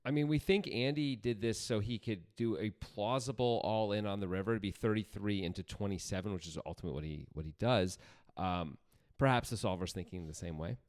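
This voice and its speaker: A man's voice: lowish voice, brisk, a little mellow. A man co-hosting a poker show, talking through hands conversationally and acting out imagined lines. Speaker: poker podcast co-host. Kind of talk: casual chat and analysis.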